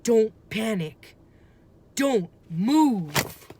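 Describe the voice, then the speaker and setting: A woman's voice: fairly high pitch, very animated, very slow, background noise, pitched above the performer's own voice, exaggerated voice. A woman voicing an anxious young boy in a storybook, urgently calling out warnings to his sister. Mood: tense, careful. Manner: urgent exclamations, shouting and warning.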